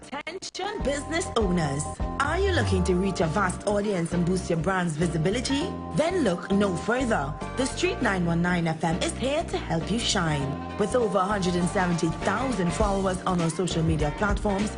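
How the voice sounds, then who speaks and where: A woman's voice: mid-range voice, big pitch swings, moderate pace, few pauses, music in the background. A woman reading a scripted radio advertisement in a polished, upbeat sales voice. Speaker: ad announcer.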